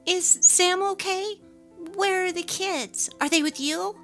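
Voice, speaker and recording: A woman's voice: extremely high pitch, slow pace, a bit bright, full character voice. A woman voicing an apologetic, evasive young working wife and mother in a dramatic story reading. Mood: alarmed. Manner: apologetic, placating. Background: faint music.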